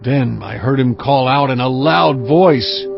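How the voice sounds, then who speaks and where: Brief: male narrator, mid-pitched, steady and measured, muffled lo-fi sound with music underneath. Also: creaky. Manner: measured, steady storytelling delivery. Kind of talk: scripted dramatized scripture narration. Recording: dull lo-fi audio with music bed.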